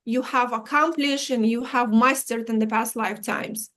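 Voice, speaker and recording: A woman from Ukraine, explaining astrology as an interview guest, halting, with many restarts and dropped articles. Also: fairly high pitch, fairly even intonation, fairly bright tone.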